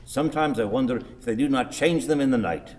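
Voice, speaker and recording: An actor playing an irascible, sardonic old blind husband in a radio play, grumbling and declaiming theatrically. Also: male voice, medium pitch, a little fast, a little mellow, close to an everyday voice. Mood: querulous. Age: older. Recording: reverberant hall.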